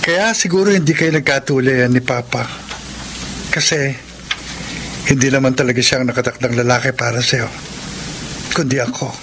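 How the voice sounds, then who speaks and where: A man's voice: mid-range voice, moderate pace, long pauses, fairly bright tone, close to an everyday voice. An actor playing an earnest young man in love in a radio drama, with a Filipino accent and English mixed into his speech. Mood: ardent, pleading. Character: earnest young scriptwriter in love with an older woman. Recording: radio drama with clear background noise.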